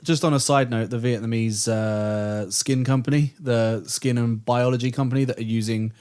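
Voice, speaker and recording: A man's voice: medium pitch, fairly even intonation, normal speed, a little mellow, clean tone. Laid-back chatting male podcast co-host. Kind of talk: casual chat.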